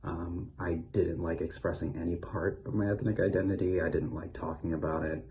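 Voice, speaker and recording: A man's voice: low voice, fairly even intonation, fairly quick, darkish tone. A man from Philadelphia thoughtfully recalling his childhood, with frequent ums. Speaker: interviewee. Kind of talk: personal recollection.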